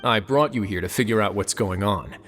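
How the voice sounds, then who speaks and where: An actor voicing a composed, authoritative male warden who speaks in a measured way with dry wit, in a video game. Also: on the low side, a bit bright, slight background noise, natural voice.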